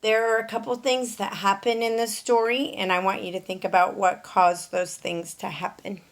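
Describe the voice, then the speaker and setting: A woman's voice: medium pitch, little pitch movement, moderate pace. A woman reading a picture book aloud for young children, explaining things in an instructive way as a storyteller. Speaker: storyteller, teacher.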